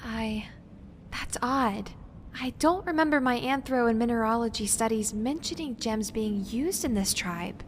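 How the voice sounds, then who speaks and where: A woman's voice: fairly high pitch, measured pace, natural voice. A woman playing a careful, bookish young researcher in an audio drama, earnest and precise, hesitant when unsure. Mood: puzzled. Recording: faint background noise.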